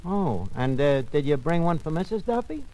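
An actor playing a sarcastic, streetwise tavern manager with folksy, ungrammatical patter in old radio comedy. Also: male voice, on the high side, sing-song, moderate pace, mellow. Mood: wry, bantering. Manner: folksy, sarcastic, malaprop-prone, hesitant patter. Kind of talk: 1940s radio comedy acting. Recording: noisy old-time radio recording.

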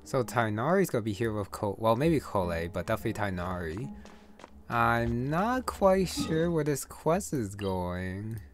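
A man's voice: fairly low pitch, sing-song, measured pace, faint music behind. A man giving casual playthrough commentary as a gamer.

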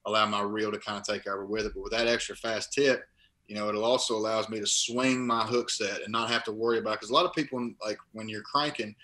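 Young guy on a webcam call from his living room, kinda rambly, keeps restarting, bright voice, pretty flat. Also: on the low side, quick pace. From Kentucky. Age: young adult. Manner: rambling, restarts phrases often. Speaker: guest, college linebacker and bass angler. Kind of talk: casual interview over video call. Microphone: laptop webcam on video call.

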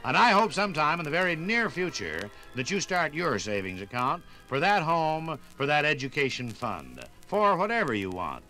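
Lively, bright-voiced American radio pitchman. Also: normal speed, hardly any pauses, faint music behind, rough recording. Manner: folksy, chatty, addresses listener directly. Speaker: radio host delivering sponsor message. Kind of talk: 1950s radio advertising pitch. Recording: vintage 1950s radio LP recording.

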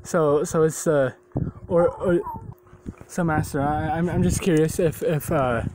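A man voicing a puzzled, deferential servant in a cartoon, stammering haltingly as he questions his master. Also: a little high, steady tone, background noise, lightly acted.

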